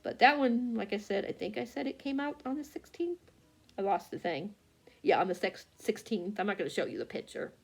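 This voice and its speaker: A woman talks as a book vlogger in a casual, rambling way, at a middling pitch. She is halting and scattered, often doubling back to correct herself. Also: animated, gravelly. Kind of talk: casual rambling chat about books.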